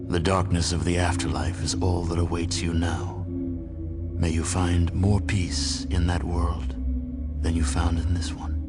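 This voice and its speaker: An actor playing a solemn, fatalistic narrator of a ruined world in a video game, measured and ominous. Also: male voice, sits low. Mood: somber, fatalistic. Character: grave, fatalistic male storyteller of a ruined world.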